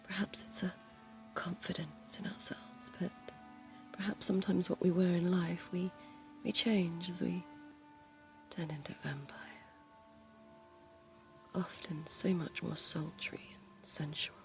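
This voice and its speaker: Woman as a slow, slinky vampire dentist, flirty ASMR whisper, low and gravelly. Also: fairly even intonation, soft background music. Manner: soft, teasing, intimate whispering. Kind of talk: ASMR roleplay.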